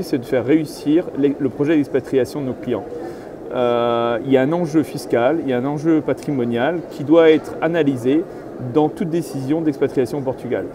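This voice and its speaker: Middle-aged man, medium pitch, explanatory interview answers, consultant, clearly noisy urban background. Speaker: real estate agent and consultant being interviewed.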